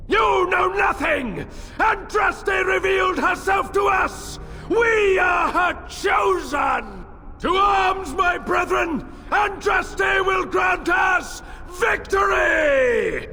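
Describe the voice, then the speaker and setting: An actor playing a fanatical, commanding cult leader who declaims dramatically in a fantasy game. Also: male voice, very high-pitched, animated, very slow, bright, forceful tone, shouting, a faint crowd, exaggerated voice. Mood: fervent rage. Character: fanatical male cult leader defending his temple. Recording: echoing hall, background noise.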